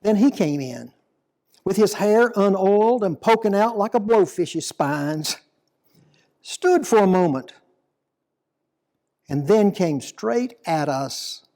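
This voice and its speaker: A man reading a dramatic monologue poem as an aging, plain-spoken fisherman apostle, deliberate, reflective and full of guilt and devotion. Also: high voice, animated, warm tone, full character voice. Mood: fond, reminiscing. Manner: slow, deliberate, reflective storytelling.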